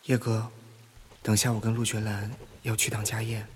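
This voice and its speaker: An actor playing a quiet, meek young younger brother in a modern radio drama, speaking softly and hesitantly. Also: male voice, on the low side, level tone, normal speed, a little hiss or hum, close to an everyday voice. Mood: meek, subdued. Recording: loud music bed under the voice. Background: music.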